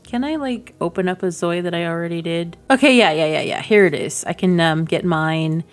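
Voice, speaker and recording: Chatty woman with a slightly low voice. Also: moderate pace. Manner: chatty, informal, enthusiastic, thinking aloud. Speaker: gaming video creator, host. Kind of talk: casual game preview commentary.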